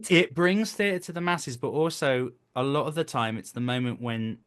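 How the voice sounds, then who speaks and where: A man's voice: mid-range voice, normal speed, clean tone. A British man in his thirties chatting casually as an interview guest, explaining things and telling stories in a talkative way. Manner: chatty, explanatory, breaks into brief acted quotes. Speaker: guest, voice actor and stage performer.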